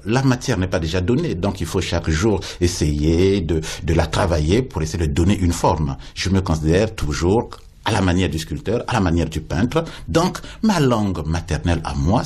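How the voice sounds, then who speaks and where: A man with a very low, husky voice talks like a poet, slow and lyrical. He often repeats a word while he looks for the next, and reflects at length as a guest in a radio interview. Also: darkish tone.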